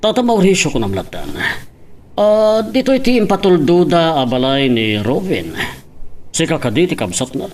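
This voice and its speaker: A strict father from a radio drama. His voice sits fairly high for a man and swings widely in pitch. He is stern and emphatic, firmly disapproving. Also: very animated, normal speed, a little mellow. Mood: firm, formal.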